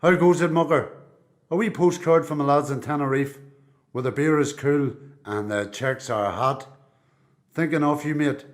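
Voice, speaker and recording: A man's voice: a little high, normal speed, natural voice. A man with a Belfast accent playing a cynical, defiant prisoner in a radio play, blunt, colloquial and sweary. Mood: jovial. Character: cynical, defiant male prisoner, streetwise and distrustful.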